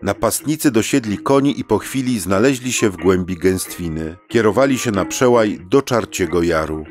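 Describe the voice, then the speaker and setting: A man's voice: lowish voice, lively intonation, moderate pace. A man reading a scripted adventure story as a storybook narrator. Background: faint guitar music.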